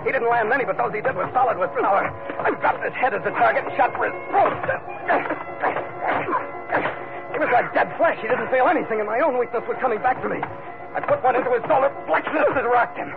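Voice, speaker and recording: A man's voice: very high-pitched, quick pace, creaky. An actor playing a tough, hardboiled ship captain narrating his adventures in terse old-time radio drama style. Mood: urgent. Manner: terse, gritty, clipped sentences. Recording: tinny vintage radio broadcast, music underneath.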